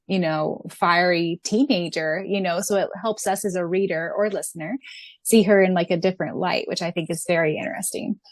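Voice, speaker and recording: A woman's voice: lowish voice, no room sound. A woman co-hosting a podcast, talking casually and emphatically as a fan in a chatty, informal way. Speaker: podcast co-host and audiobook voice performer.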